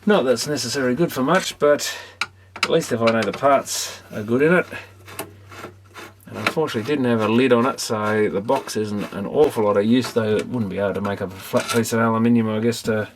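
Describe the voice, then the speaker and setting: A man's voice: on the low side, brisk. An Australian man giving casual, rambling commentary as he works, offhand and musing to himself. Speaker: electronics repair hobbyist. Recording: clearly noisy recording with clicks.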